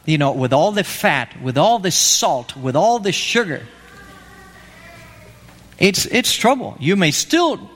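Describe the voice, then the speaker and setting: A man's voice: on the high side, fairly bright tone. A man from Argentina preaching an evangelistic sermon, emphatic and pleading, repeating his key phrases. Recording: long reverb of a hall.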